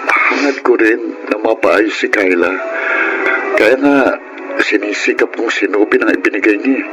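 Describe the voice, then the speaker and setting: A man acting a dutiful, patient grown son in a Filipino radio drama, speaking respectfully and gently explaining. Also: lowish voice, natural voice, poor audio.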